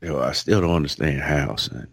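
A man co-hosting a podcast, bantering in relaxed slang. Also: very deep voice, normal speed, creaky, breathy edge, no echo, studio quality. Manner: laid-back slangy banter. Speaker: podcast co-host. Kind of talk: casual chat.

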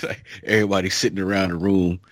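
A man co-hosting a podcast, bantering in relaxed slang. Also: low voice, fast talker, dry recording. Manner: laid-back slangy banter. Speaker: podcast co-host. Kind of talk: casual chat.

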